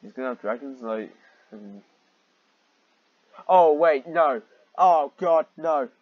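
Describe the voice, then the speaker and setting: A man's voice: on the high side, lively intonation, slow pace, no echo. Exasperated man reading aloud, dull lo-fi mic. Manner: exasperated, incredulous asides and swearing. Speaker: reader and commentator of amateur fan fiction. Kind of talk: sarcastic live reading with running commentary.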